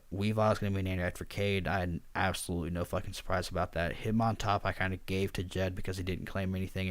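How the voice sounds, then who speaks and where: Quiet, low-voiced young man rambling. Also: no echo. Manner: quiet, subdued and somewhat monotone; rambling with frequent fillers. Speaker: draft league coach and video host. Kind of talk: casual spoken commentary over slides.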